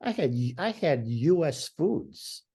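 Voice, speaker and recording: A man's voice: on the high side, big pitch swings, takes it slowly, breathy edge. A man speaking as a podcast guest, talking passionately and emphatically over a remote call, sometimes restarting his sentences. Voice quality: loud voice. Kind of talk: conversational interview.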